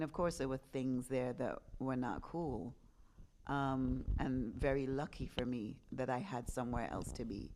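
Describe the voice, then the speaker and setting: Very low-voiced woman in her fifties. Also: a little mellow, a little hiss or hum. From England. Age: 50s. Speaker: podcast host being interviewed. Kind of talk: candid conversational storytelling.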